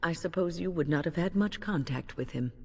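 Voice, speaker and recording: A wise older sorceress from a fantasy game, with a low, slightly husky voice. She speaks measuredly and earnestly, composed and instructive, in a long hall reverb. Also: a little fast, mellow. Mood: calm, matter-of-fact. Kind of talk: video game voice acting.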